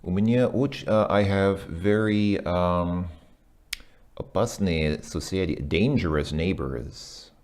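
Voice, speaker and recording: A man working through a language lesson out loud, hesitant, with many ums and self-corrections. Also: sits low, slow. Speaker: language learner reviewing a lesson. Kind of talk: casual thinking-aloud commentary.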